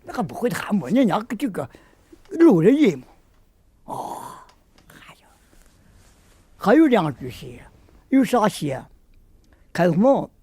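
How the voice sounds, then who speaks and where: A man's voice: high voice, sing-song, measured pace, long pauses, dark tone, breathy edge. A man talking casually and conversationally as a documentary interviewee.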